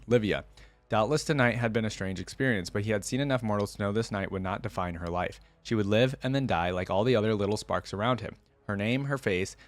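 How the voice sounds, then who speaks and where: A man with a fairly low voice reads fiction aloud as a video host. He moves between expressive narration and casual commentary, in a very dry recording. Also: no room sound. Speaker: reaction video host reading a story aloud. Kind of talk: expressive story reading with casual asides.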